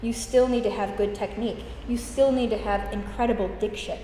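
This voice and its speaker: A woman's voice: on the high side, fairly even intonation, brisk. Enthusiastic American woman in echoey room. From United States. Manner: enthusiastic, laughs often, warm gushing praise. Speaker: guest opera singer. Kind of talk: interview answers. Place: room with high windows, indoors. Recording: echoey room, reverb, faint noise.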